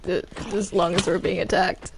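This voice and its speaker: A woman's voice: lowish voice, little pitch movement, moderate pace, background noise, natural voice. A woman playing a grumbling, reluctant captive in an improvised roleplay, whining and protesting. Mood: defensive. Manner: whiny, protesting. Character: grumbling, reluctant captive Bendy under another's control.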